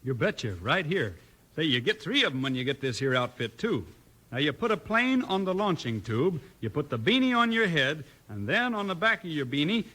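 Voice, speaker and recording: High, animated folksy cowboy pitchman. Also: exaggerated voice. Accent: American Western. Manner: folksy, enthusiastic. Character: friendly folksy singing cowboy pitchman for children. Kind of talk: 1950s radio cereal advertisement.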